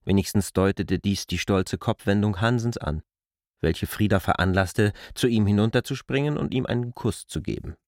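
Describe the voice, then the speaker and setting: A man's voice: lowish voice, moderate pace, a little mellow, dry recording, studio quality. A man narrating a literary radio drama from a written script.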